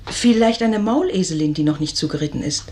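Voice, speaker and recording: Quietly watchful sister played by a woman. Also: fairly low pitch, lively intonation, brisk, a little hiss or hum, lightly acted. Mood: quiet, concerned. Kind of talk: German radio drama acting.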